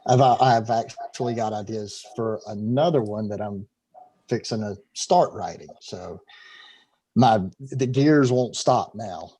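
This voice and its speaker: A middle-aged man with a mellow, darker voice, heard over a video call from a room at home. He talks casually and rambles, stumbling over and repeating his words. He sounds like an easygoing guest in an online interview. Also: on the low side, slow pace. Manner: talkative, stumbles and repeats words, laughs easily.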